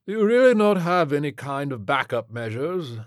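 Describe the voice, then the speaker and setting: A man voicing a stuffy, grumbling rich gentleman in an audiobook story, formal and complaining. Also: on the high side, very animated, moderate pace, no echo, studio quality, voice pushed up. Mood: faint complaint.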